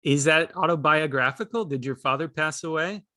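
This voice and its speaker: A man's voice: fairly high pitch, normal speed, sharp tone, dry recording, clean studio sound. A man asking questions in a casual, thoughtful discussion, hesitantly searching for his words.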